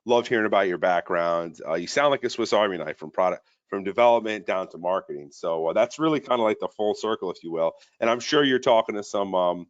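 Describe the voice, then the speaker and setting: A man's voice: fairly low pitch, brisk, hardly any pauses, lo-fi sound. A man co-hosting a sales webinar in an upbeat, repetitive way with many fillers. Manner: upbeat, repeats words, fillers.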